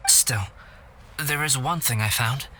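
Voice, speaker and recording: A calm, analytical young man in a video game. He speaks in measured, articulate phrases. The voice is mid-pitched and very bright, over faint ambient noise. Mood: composed, pensive. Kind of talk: video game cutscene acting.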